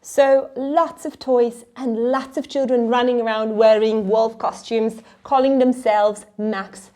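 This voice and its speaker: A middle-aged woman hosting a children's read-along, telling stories in an expressive, dramatic way that sometimes drops into a hush. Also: on the high side, slow. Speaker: host of a children's read-along and craft show. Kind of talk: animated storytelling for children.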